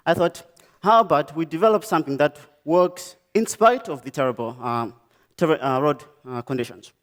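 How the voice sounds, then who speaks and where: A young man from Malawi with a Malawian accent, giving a conference talk about his design work, pausing often with "um" and "uh". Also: fairly high pitch. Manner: halting, many "um" and "uh" pauses and restarts. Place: lecture stage.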